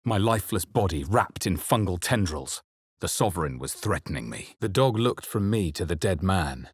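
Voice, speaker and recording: A grave, guarded male adventurer from a fantasy video game. His voice sits very low and has a husky grain. He delivers each line in a measured, brooding way, recorded close and very dry. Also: animated, dry recording. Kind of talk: dramatic video game voice lines and first-person narration.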